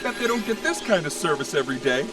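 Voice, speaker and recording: Lively, high-voiced heroic young plane. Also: male voice, fast, background noise. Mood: pleased, jaunty. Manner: upbeat, confident. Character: cheerful, cocky young racing plane, eager helper. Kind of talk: animated video game acting. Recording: distant voice under loud game music. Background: loud music, engine and vehicle sounds.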